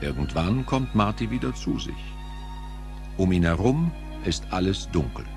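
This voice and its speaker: A man's voice: deep voice, big pitch swings, normal speed, over music. A man narrating a scripted audio play in a dramatic storytelling manner.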